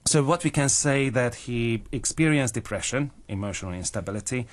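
A man's voice: mid-range voice, expressive, fairly quick. A man in his thirties from Hungary with a Hungarian accent, speaking earnestly and carefully as he tells personal stories as an interview guest. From Budapest, Hungary. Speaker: interview guest, actor and playwright. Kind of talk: personal storytelling in a radio interview.

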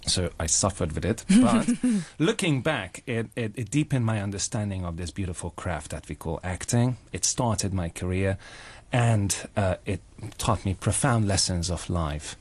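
A man's voice: sits low, lively intonation, moderate pace. A man in his thirties from Hungary with a Hungarian accent, speaking earnestly and carefully as he tells personal stories as an interview guest. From Budapest, Hungary. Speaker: interview guest, actor and playwright. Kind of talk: personal storytelling in a radio interview.

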